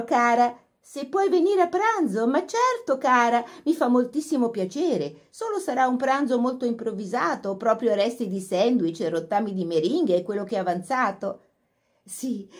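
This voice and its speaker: A woman's voice: high voice, a little mellow, voice pushed up. A woman voicing an eager young upper-class girl who chatters breathlessly in a storybook reading.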